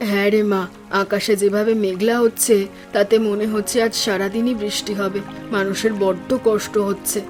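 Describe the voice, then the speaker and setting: A woman voicing a practical, gentle, hardworking village mother in an animated story. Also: mid-range voice, normal speed, natural voice. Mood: concerned. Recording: voice over background music.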